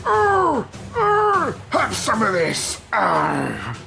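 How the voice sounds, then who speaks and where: A woman playing a bold, gleeful fighting pirate lass with a creaky voice in an adventure drama, over music. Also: a little high, wildly swooping pitch, drawn-out words, heavily acted, rough recording. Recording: loud music behind the voice.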